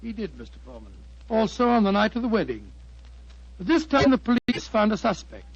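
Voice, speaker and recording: An actor playing Doctor Watson as an elderly, genial British gentleman, avuncular and a little hesitant, in 1940s radio drama style. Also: high voice, wildly swooping pitch, brisk, husky, no echo, full character voice. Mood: genial storytelling. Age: older. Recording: dull old 1940s radio broadcast.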